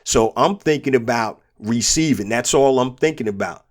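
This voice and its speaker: A man's voice: medium pitch, moderate pace. Congested man hosting a motivational podcast. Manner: earnest, repeats key points for emphasis. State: stuffy nose and sore throat from a cold. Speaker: podcast host and business coach.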